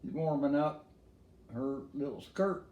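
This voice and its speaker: A man's voice: on the high side, unhurried, warm tone, reverberant, a little hiss or hum. An older man reading a storybook in verse in a folksy way, with casual asides to a listener.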